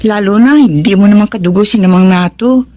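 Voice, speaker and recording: An actress playing a sassy, loyal young best friend in a Tagalog radio drama, breezy and teasing, with a Filipino accent. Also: female voice, mid-range voice, brisk, mellow, lightly acted. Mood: admiring.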